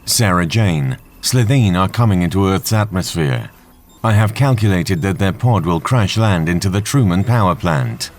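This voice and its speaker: Man as a deep-voiced, polite supercomputer. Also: animated, moderate pace, a little hiss or hum. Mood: matter-of-fact. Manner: formal, matter-of-fact, teasing. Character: polite, dryly sarcastic supercomputer. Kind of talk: audio drama acting.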